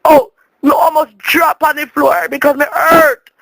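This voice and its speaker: Jamaican woman, expressive rambling comic storyteller, dramatic patois delivery, close dry sound. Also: high-pitched, a little slow, no echo. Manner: dramatic, chatty, repeats words for emphasis.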